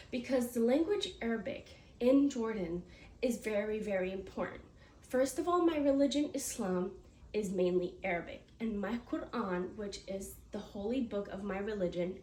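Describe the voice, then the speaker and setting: A woman's voice: a little high, warm tone. A teenage girl from the United States giving a prepared class speech, earnest, with small slips and restarts. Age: teens. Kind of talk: prepared speech read aloud. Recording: echoey room, mic far off, faint noise.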